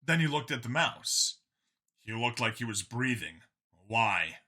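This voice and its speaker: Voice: mid-range voice, expressive, normal speed, sharp tone. A narrator reading a science fiction story aloud in a dramatic audiobook style.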